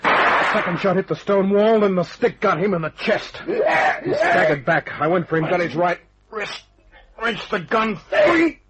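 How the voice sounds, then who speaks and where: A man's voice: high voice, very animated, a little slow. An actor playing a tough, wisecracking detective hero, telling his story in clipped, wry phrases in an old-time radio crime drama. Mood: urgent, excited. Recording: dull, noisy vintage radio recording.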